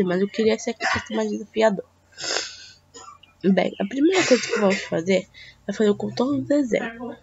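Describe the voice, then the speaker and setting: A teenage girl from Brazil with a Brazilian Portuguese accent, hoarse from a cold, chatting hesitantly through a drawing tutorial at home. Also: fairly high pitch. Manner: chatty, hesitant, talks to viewers as "gente". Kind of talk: casual screen-recorded tutorial. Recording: dull lo-fi mic with faint hiss.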